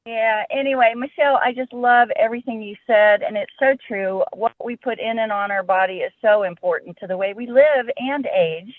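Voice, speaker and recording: A woman calling in to a live webinar, chatting warmly and laughing often. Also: fairly high pitch, moderate pace, few pauses, no echo. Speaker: caller. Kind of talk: casual call-in chat. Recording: dry tinny phone line.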